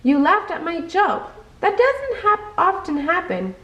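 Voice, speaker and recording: A woman's voice: very high voice, huge pitch swings, unhurried, fairly bright tone, echoey room, voice pushed up, exaggerated voice. A woman voicing a jokey, bold and kind female cow in a storybook, with playful, emphatic delivery. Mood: surprised.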